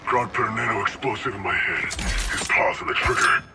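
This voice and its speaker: A man's voice: on the low side, moderate pace, heavy background noise, lightly acted. An actor playing a grim, resigned male mercenary in a video game cutscene. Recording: game cutscene with loud score.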